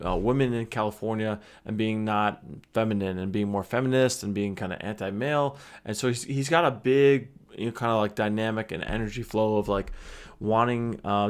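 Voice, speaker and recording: A man hosting a reaction video, giving casual, hesitant commentary with frequent pauses and restarts. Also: on the low side. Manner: hesitant, many fillers and restarts.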